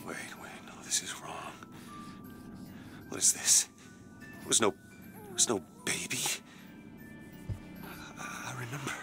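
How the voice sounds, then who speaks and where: An actor playing a haunted, weary male gun-for-hire in a video game, blunt and gruff. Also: medium pitch, wildly swooping pitch, slow, pauses often, in a whisper, music in the background, full character voice. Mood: troubled, confused. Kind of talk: video game character acting.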